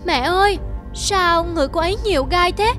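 A woman voicing a small, whining child snake in an animated fairy tale. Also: very high-pitched, brisk. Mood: complaining. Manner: whiny, complaining. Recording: music under the voice.

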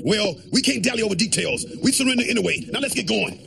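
Very high, creaky cowardly alien. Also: male voice, expressive, quick pace, heavy background noise, exaggerated voice. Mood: eager. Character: cowardly, cheerful small alien eager to surrender. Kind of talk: cartoon voice acting. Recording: loud music behind the voice.

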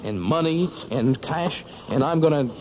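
A man's voice: fairly high pitch, lively intonation, unhurried, very noisy. A man working as a playful, showy radio disc jockey, talking in 1960s top-40 patter on an old AM broadcast. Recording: dull 1960s AM radio aircheck.

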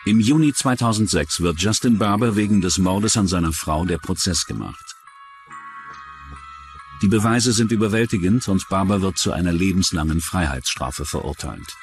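A man's voice: sits low, normal speed. A man reading scripted true-crime documentary narration. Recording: music bed under the voice. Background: music.

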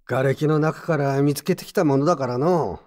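Male actor as elderly craftsman, gruff, rustic old-fashioned phrasing, slightly raised male pitch, audio drama. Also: normal speed, a little mellow, natural voice. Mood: gruff, matter-of-fact. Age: older. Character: gruff old male pottery mender in a shelter. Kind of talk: radio drama acting.

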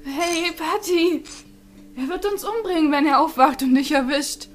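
A woman's voice: sits high, unhurried, soft background music. A woman playing an exasperated, sensible teenage girl in a German fan-dubbed anime audio drama. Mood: alarmed. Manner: exasperated, nagging.

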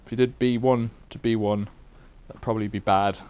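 A man's voice: lowish voice, fairly even intonation, normal speed, darkish tone, smooth. A middle-aged man casually demonstrating a bike repair in a cluttered workshop, rambling with wry asides.

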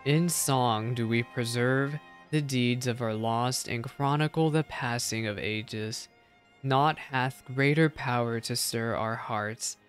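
A man voicing a solemn, wise dragon in a video game, speaking in formal, archaic, measured recital. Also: medium pitch, soft background music, lightly acted. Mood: solemn reverence.

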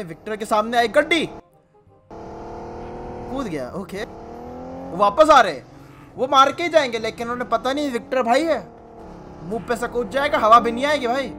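A man gives casual, slangy, exclamatory commentary on game clips into a close microphone. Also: high-pitched. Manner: slangy, exclamatory, repeats catchphrases. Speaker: gaming YouTuber, host. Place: indoor gaming room. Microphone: close desk microphone, wearing headset. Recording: loud music behind the voice.

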